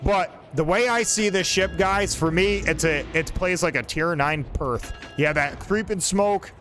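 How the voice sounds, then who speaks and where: A man's voice: sits high, unhurried, a bit bright, soft background music. A man in his forties casually commenting on his own gameplay, rambling and joking with a friend over a desk mic. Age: 40s. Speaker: gaming channel host.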